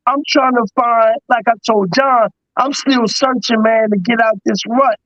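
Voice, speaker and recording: A man's voice: extremely high pitch, fairly even intonation, a little slow, a bit bright, no echo, highs cut off. A man in his fifties asking questions on a video call, hesitant and restarting his sentences. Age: 50s. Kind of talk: casual live-stream chat.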